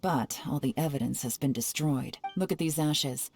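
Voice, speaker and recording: A composed female spymaster from a video game, her voice low and measured. She lays out her reasoning with cool confidence, over faint music. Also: darkish tone. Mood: assured, analytical. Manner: measured, analytical, assured. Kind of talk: scripted video game dialogue.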